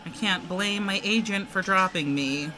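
An actress playing an earnest, self-assured former model turned wellness guru in an audio drama. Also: female voice, medium pitch, unhurried, background noise, close to an everyday voice. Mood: confessional, earnest. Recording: music playing behind the dialogue.

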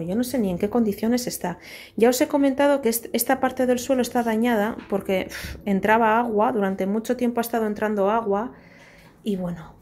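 A woman's voice: a little high, slight background noise. A woman, chatting casually as she gives a house tour on a phone held at arm's length on an outdoor terrace. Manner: chatty, animated, cheering on her son. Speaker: family vlogger. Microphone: handheld phone at arm's length.

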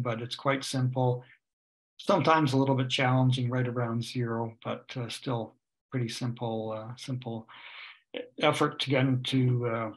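A man's voice: mid-range voice, low-quality recording. Older Canadian man giving a ski lesson. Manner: explanatory, hesitant with many uh and um fillers. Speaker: ski instructor. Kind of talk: webinar presentation over slides. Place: home room on a video call. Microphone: webcam or computer mic on a video call.

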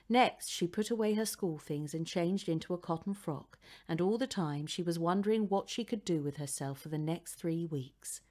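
Woman, slightly low pitch, children's audiobook narrator, scripted storytelling. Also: mellow.

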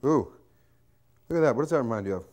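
A man's voice: medium pitch, lively intonation, fast talker, a little mellow, muffled recording, slight background noise. A man in his thirties hosting a cooking show in a studio kitchen, cheeky and joking as he talks to camera. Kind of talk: jokey cooking demonstration.

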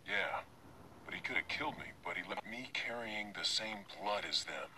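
An actor playing a serious, courteous agent and former cop in a video game, understated and matter-of-fact. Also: male voice, lowish voice, animated, normal speed, background noise, close to an everyday voice. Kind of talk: video game cutscene acting. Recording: game audio under loud music.

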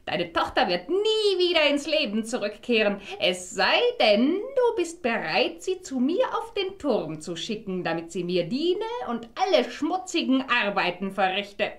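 An actress playing a wicked old sorceress in a fairy-tale radio play, theatrical, with gloating, drawn-out menace. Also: female voice, fairly high pitch, sing-song. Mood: cruel, mocking.

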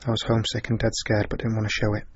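An actor playing a homesick, scared sixteen-year-old soldier in a radio drama, recalling things plainly and quietly. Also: male voice, fairly low pitch, completely flat delivery, very fast, crowd noise, lightly acted. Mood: subdued, haunted. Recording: muffled radio recording.

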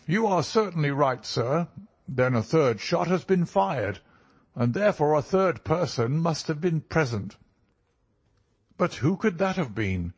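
A man's voice: on the high side, very animated, measured pace, husky, highs cut off, pitched above the performer's own voice, heavily acted. A man voicing an earnest, obliging country doctor in an audiobook, speaking precisely and emphatically. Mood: impressed. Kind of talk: audiobook character dialogue.

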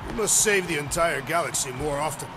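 A man's voice: on the high side, lively intonation, a little fast, noisy background. A man playing an earnest, literal-minded alien warrior in a game, formal and solemn. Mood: matter-of-fact.

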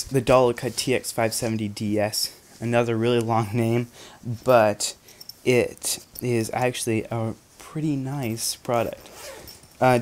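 Young man with low flat voice. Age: young adult. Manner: chatty, many ums and restarts. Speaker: photography reviewer. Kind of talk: casual product review. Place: bedroom.

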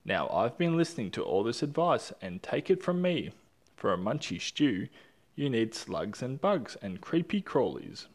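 A man's voice: mid-range voice, sing-song, measured pace. A man voicing a bristly, bossy male echidna in a storybook, speaking insistently. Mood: bristling.

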